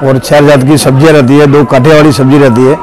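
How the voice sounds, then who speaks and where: A middle-aged man from the restaurant staff, speaking haltingly with many pauses in a restaurant dining hall. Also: medium pitch, little pitch movement, a crowd in the background.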